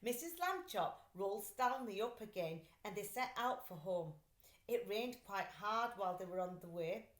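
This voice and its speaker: A woman's voice: mid-range voice, normal speed, reverberant. A woman reading a children's story aloud like a teacher, expressively and with dramatic delivery. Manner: animated, dramatic character voicing in dialogue.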